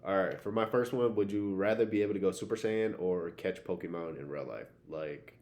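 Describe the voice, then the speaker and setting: A man's voice: low voice, brisk, darkish tone. A man co-hosting a podcast, talking casually and matter-of-factly in slangy, sometimes profane language. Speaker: podcast co-host. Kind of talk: casual banter, would-you-rather questions.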